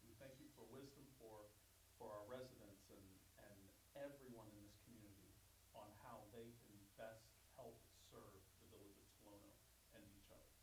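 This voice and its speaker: A man leading an opening prayer at a village meeting, halting and repeating words. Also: fairly low pitch, fairly even intonation. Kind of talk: spoken public prayer. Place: village council meeting room. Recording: distant, echoey, hissy room recording.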